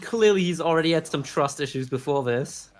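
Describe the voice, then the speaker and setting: A man's voice: on the high side, lively intonation, fairly quick, faint music behind. A man giving casual, sarcastic, joking reaction commentary on an anime as a podcast co-host.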